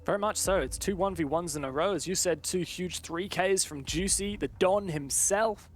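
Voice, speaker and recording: High-pitched male esports caster. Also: normal speed, soft background music. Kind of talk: live game commentary.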